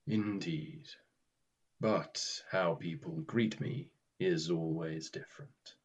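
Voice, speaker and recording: Guy acting grim reaper type, low husky voice, slow and formal, weary, radio play. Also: lo-fi sound, full character voice. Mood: dry, grave. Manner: formal, dry, understated.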